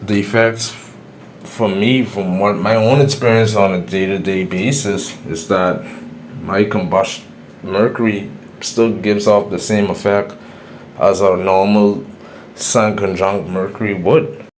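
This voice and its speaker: A man's voice: on the low side, steady tone, a little slow, a little hiss or hum. A man with a Bahamian accent, giving his own views in a solo video monologue, explaining with some restarts. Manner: opinionated, explanatory, a few restarts. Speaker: astrology YouTuber. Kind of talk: solo opinion monologue to camera.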